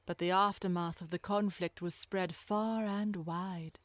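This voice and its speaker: A woman's voice: lowish voice, steady tone, unhurried, no echo. Woman narrating a storybook, very dry sound.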